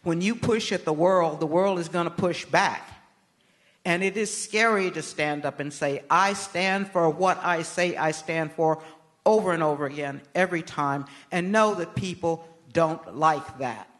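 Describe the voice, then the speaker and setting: An older American woman answering interview questions as a guest, speaking in a measured, emphatic, oratorical way. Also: fairly low pitch. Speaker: interview guest, political activist. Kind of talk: live on-stage interview answers.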